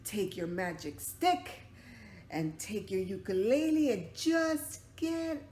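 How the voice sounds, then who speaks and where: A woman voicing angry, fed-up townsfolk in a children's story, scolding loudly. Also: mid-range voice, very animated, slow. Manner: loud, exclaiming, scolding. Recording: roomy echo, background noise.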